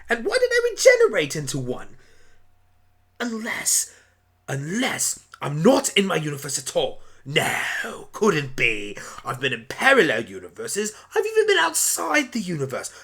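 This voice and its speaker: A woman's voice: medium pitch, huge pitch swings, moderate pace, fairly bright tone, husky, pitched above the performer's own voice, full character voice. A woman voicing an eccentric, kindly male alien pony doctor, musing theatrically in a fan radio play. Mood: puzzled musing.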